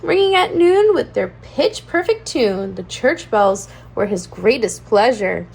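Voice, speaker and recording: A woman with a high voice reads a picture book to young children. Her delivery swings widely up and down, with a singsong rhyming cadence and plenty of expressive emphasis on key words. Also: measured pace, creaky, slightly rough. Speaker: children's read-aloud channel host. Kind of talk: animated storybook read-aloud for young children.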